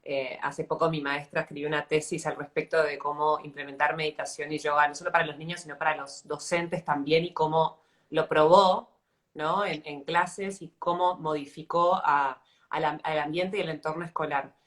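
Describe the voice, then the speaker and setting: An Argentine woman in her thirties with a low-pitched voice leads a casual interview. She talks reflectively and haltingly, searching for words as she goes. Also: steady tone, a bit bright. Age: 30s. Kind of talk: casual live interview chat.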